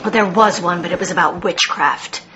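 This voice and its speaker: A woman's voice: on the low side, moderate pace, muffled recording, natural voice. An actress playing a distraught, blunt, sardonic mother of a possessed daughter in a radio horror drama. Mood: uneasy. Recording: noisy radio-play recording.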